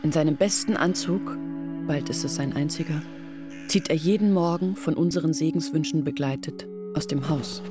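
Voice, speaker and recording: An actress voicing a young village woman who quietly tells of her family's ruin in a radio play. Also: sits low, moderate pace, lightly acted. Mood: somber. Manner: quiet, sorrowful storytelling. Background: faint music.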